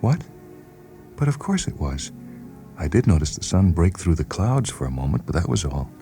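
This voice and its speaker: A man voicing a wounded, pleading wife who holds herself steady, soft and imploring, in a radio story reading. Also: low voice, moderate pace, soft background music. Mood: puzzled, evasive.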